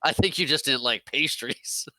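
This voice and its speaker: A man's voice: mid-range voice, moderate pace, sharp tone, no room sound, low-quality recording. A man co-hosting a podcast in casual chat about a film, often laughing and chiming in with short agreements. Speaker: podcast co-host.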